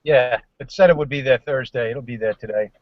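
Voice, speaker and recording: A man's voice: mid-range voice, a little fast, no room sound, muffled recording. A man joining a casual live show by video call, easygoing and a little apologetic, laughing along with the hosts.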